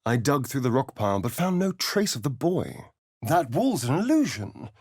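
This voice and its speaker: A man's voice: big pitch swings, moderate pace, darkish tone, no echo, studio quality. A man playing a sly, sardonic vampire spawn rogue in a video game, with an arch, drawling, theatrical delivery. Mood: dramatic storytelling. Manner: arch, theatrical, drawling, with big swoops in pitch. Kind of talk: video game voice lines and narration.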